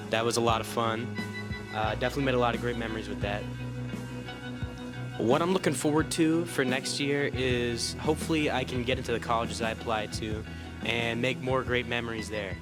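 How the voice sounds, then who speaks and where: A man's voice: medium pitch, steady tone, fairly quick, loud music behind the voice. A teenage male student speaking casually to camera. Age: teens. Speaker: student on a school show.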